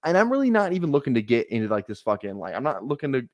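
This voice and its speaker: A man's voice: mid-range voice, sing-song, very fast, no echo. Rambling young man on a livestream. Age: 20s. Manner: rambling, laid-back, swears often, laughs at himself. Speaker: fantasy football streamer. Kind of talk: casual livestream chat. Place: small apartment room.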